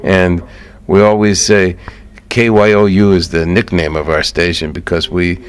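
A man's voice: deep voice, flat delivery, measured pace. A man with an American accent hosting a conversational radio interview in an easygoing, encouraging way. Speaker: radio show host.

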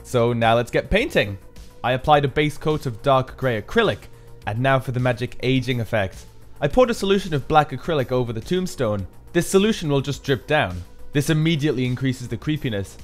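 A man's voice: mid-range voice, expressive, brisk, faint music behind. A man giving an upbeat, explanatory voice-over for a build tutorial, as the maker himself. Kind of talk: scripted tutorial narration.

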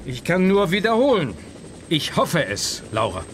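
An actor playing a grave, learned scientist in a theatrical, explanatory radio play manner. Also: male voice, high voice, wildly swooping pitch, unhurried, exaggerated voice. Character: grave, learned male scientist professor facing danger. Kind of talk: German children's sci-fi radio play acting. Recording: aircraft and action noise behind the voice.

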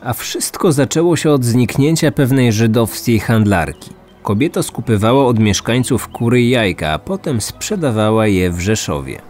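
A man tells a scripted true-crime story as a podcast host. His voice sits at an average pitch, with music running underneath. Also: animated, moderate pace, few pauses, darkish tone.

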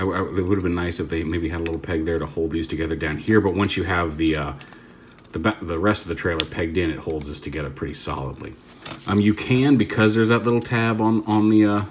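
A man with a deep, low voice talks through a toy review in a relaxed, off-the-cuff way. He rambles and corrects himself mid-sentence while he fiddles with the piece on a tabletop. A faint hiss sits under his voice. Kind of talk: casual hands-on product demo.

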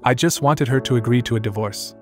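A man in his forties reading a first-person account aloud in a calm, even way. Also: mid-range voice, a little fast. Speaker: narrator. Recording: soft music underneath.

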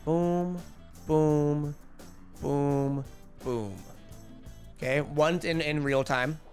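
A young man in his twenties streaming a skateboarding game, chatty and energetic, calling out his tricks, a bit hoarse. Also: a little high, drawn-out words, soft background music. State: losing his voice. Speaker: gaming streamer. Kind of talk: live gameplay commentary. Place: home streaming room. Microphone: headset.

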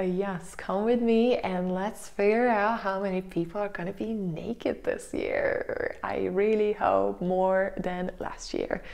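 Slow-talking young woman vlogger. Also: medium pitch, vocal fry, dark tone. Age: young adult. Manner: chatty, with frequent pauses and fillers. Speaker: vlogger host. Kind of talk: casual talk to camera. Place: small living room indoors. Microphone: lapel mic clipped to her top.

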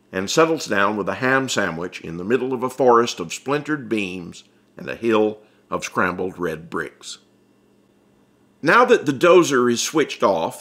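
A man's voice: on the low side, fairly bright tone. A man narrating a story as an author reading his audiobook, in a vivid, theatrical storytelling style. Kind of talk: dramatic scripted storytelling narration.

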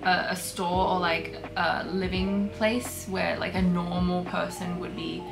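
Young woman from Hong Kong, relaxed candid vlogger, slightly low voice, music under the voice. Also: unhurried, gravelly, low-quality recording. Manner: chatty, many fillers, relaxed and candid. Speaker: concept artist. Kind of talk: casual talk over artwork. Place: small bedroom studio, indoors.

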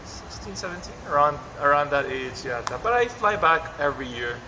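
A man's voice: fairly high pitch, expressive, normal speed, background noise. A young man in his twenties from the Philippines with an American-influenced English accent, answering interview questions earnestly and slipping in Tagalog phrases. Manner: earnest, mixes in Tagalog phrases, frequent "you know". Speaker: pageant contestant.

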